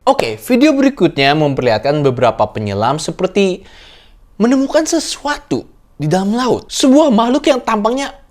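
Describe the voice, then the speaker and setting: A man's voice: sits high, big pitch swings, moderate pace. A man hosting a horror reaction video, giving dramatic, suspenseful commentary with rhetorical questions and exclamations. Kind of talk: dramatic storytelling commentary to viewers.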